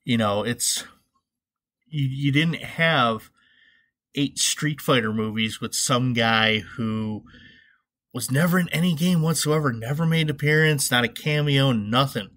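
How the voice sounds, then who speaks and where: Male podcast host, mid-pitched and bright with a forceful edge, wry and informal solo commentary. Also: measured pace. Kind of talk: casual comedic solo commentary.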